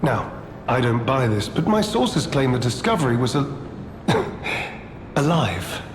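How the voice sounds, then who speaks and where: A wry, shrewd rumor-monger in a dark fantasy game. He talks in a middle-pitched voice, measured and conversational. The sound has a long hall echo with a faint noise behind it. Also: very animated. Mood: wry, intrigued. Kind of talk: video game dialogue.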